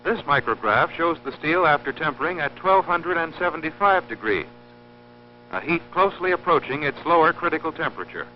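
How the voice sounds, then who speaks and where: A man narrating an instructional film in a formal, scripted, measured and precise teaching manner. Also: a little high, animated, slight background noise. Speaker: narrator of an industrial training film. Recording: dull lo-fi old film soundtrack, humming.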